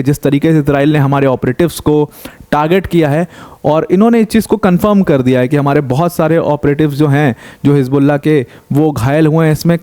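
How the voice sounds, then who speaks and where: Young male news explainer, warm, slightly raised pitch, conversational, throws questions at the viewer. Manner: conversational, asks rhetorical questions, mixes in English terms. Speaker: current affairs educator.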